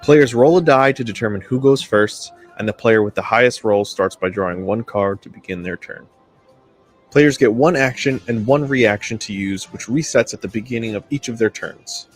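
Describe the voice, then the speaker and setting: A man's voice: mid-range voice, normal speed. A man reading scripted promotional narration that explains things step by step. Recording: music bed behind voice. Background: music.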